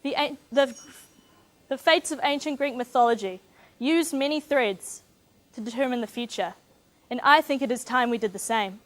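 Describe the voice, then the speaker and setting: A teenage woman from New Zealand with a New Zealand accent, giving an earnest, measured competition speech. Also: high-pitched. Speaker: speech contestant.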